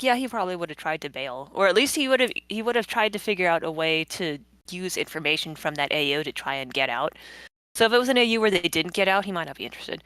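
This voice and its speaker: A woman's voice: lowish voice, a bit bright, dry recording, rough recording. A woman giving casual behind-the-scenes commentary as a comic creator, rambling and thinking aloud as she goes. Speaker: webcomic creator guest.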